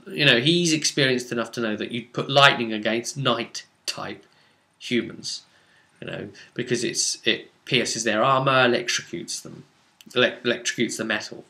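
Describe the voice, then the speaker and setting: A man giving a casual, conversational recap of a role-playing game session as its game master, leaning on drawn-out words for emphasis. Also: medium pitch, bright, forceful tone.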